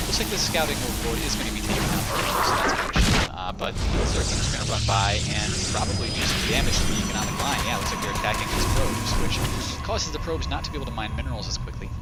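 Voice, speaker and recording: A man casting a strategy game match for beginners, explaining patiently with frequent fillers, his microphone sometimes making his voice sound robotic. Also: lowish voice. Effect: occasional robotic microphone distortion. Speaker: game commentator. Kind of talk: beginner-friendly game commentary. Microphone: home microphone. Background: loud game music and battle sounds.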